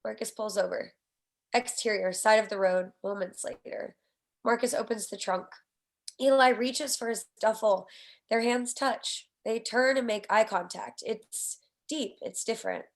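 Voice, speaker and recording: A woman's voice: on the high side, unhurried. A woman reading stage directions as scripted narration at a screenplay table read.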